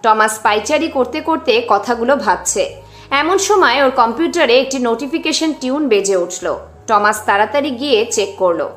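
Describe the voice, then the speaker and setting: A woman's voice: a little high, expressive, normal speed, a bit bright. A woman narrating a scripted dramatic story as its storyteller. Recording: roomy echo with music underneath.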